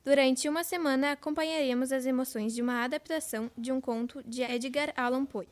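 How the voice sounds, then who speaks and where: A Brazilian schoolgirl presents an old-time radio programme from a script. Her voice is high and young. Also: fairly even intonation, brisk. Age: child, about twelve.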